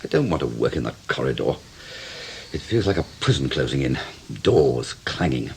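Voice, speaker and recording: An actor playing a troubled middle-aged English writer in a radio play, wistful and rueful. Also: male voice, rumbling low, sing-song, normal speed, gravelly, a little mellow, slight background noise, heavily acted. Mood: agonised. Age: 40s.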